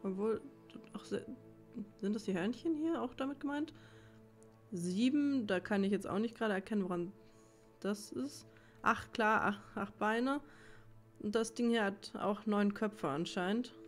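A woman's voice: medium pitch, unhurried. Woman thinking aloud over game music. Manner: puzzled, mutters to herself while working things out. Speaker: let's player, channel host. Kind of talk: casual let's play commentary, thinking aloud.

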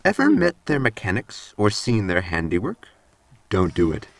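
A man's voice: fairly low pitch, huge pitch swings, moderate pace, gravelly, no room sound, full character voice. An actor playing a blunt, cheeky male android in a sci-fi comedy audio drama, matter-of-fact and polite with a sting.

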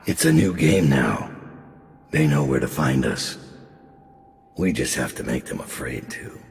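A grim middle aged assassin from a video game, super gravelly, slow, brooding, voice moves around a lot. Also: male voice, very deep voice, lively intonation, exaggerated voice. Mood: defiant. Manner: brooding, deliberate, menacing. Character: grim, guilt-ridden middle-aged male master assassin. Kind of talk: video game voice acting, dramatic monologue.

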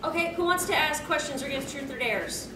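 A woman's voice: high-pitched, a little fast. A young woman hosting a panel in a casual, giggly, hesitant way, speaking to an audience. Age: young adult. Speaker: panel host. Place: convention meeting room, on a stage. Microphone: distant camera microphone. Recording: distant, echoey, noisy camera recording.

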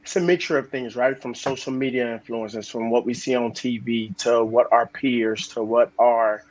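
Male school psychologist, mid-pitched, earnest and rambling, video call with faint hiss. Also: steady tone, moderate pace. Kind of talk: panel discussion.

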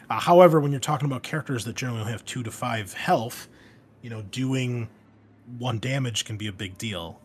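A man hosting as game master, explaining tabletop game rules in a casual, conversational way. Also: fairly low pitch. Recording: clear steady background noise.

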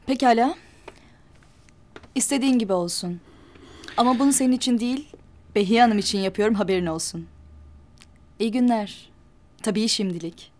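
A young actress as a proud, guarded half-sister. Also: female voice, fairly high pitch, moderate pace, pauses often, a little mellow, a little hiss or hum, natural voice. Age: young adult. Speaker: newly recognized daughter of a rich family. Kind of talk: television drama acting.

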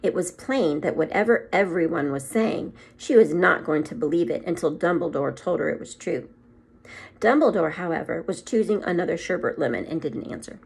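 Expressive, husky woman reading aloud. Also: lowish voice, moderate pace, low-quality recording. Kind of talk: expressive children's story read-aloud. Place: small room at home.

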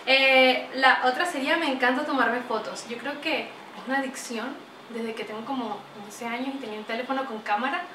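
A young woman from Venezuela with a Venezuelan accent, chatting to camera in a lively, talkative way, recorded in a small bright room. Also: high voice, a little fast, distant mic, background noise. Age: young adult. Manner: chatty, animated, gestures a lot. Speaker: vlogger. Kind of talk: casual chat to camera. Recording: roomy echo, voice a bit off-mic.

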